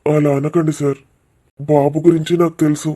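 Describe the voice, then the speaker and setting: A man's voice: fairly high pitch, little pitch movement, moderate pace, dark tone, natural voice. A man voicing a humble, anxious middle-aged father in a cartoon village drama. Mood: anxious, respectful.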